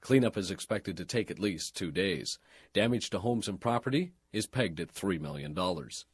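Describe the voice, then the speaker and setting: A man reading a scripted radio news and sports bulletin in a formal, measured broadcast delivery. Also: sits low, animated, slightly rough, no room sound, studio quality.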